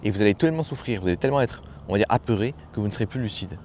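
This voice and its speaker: A man speaks quickly in a low voice, like a coach talking straight to his viewers. His delivery is familiar and a bit slangy. He drives his points home by repeating phrases over and over, with a faint hiss of noise behind him. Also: animated, darkish tone. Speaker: personal development coach. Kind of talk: casual motivational talk to camera.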